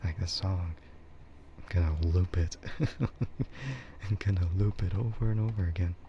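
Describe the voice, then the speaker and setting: A man chatting casually and soft-spoken with his livestream viewers, rambling in a relaxed way. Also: sits low, measured pace, lo-fi sound, a little hiss or hum. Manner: relaxed, soft-spoken ASMR yapping, often chuckling. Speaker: streamer, VTuber host.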